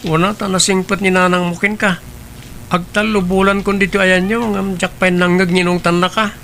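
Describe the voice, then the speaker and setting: A man's voice: high-pitched, little pitch movement, fairly quick, a bit bright, a little hiss or hum. A man playing a polite, wistful schoolboy in an audio drama, with a Filipino accent and a respectful manner. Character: polite schoolboy, wistful and neglected by his mother.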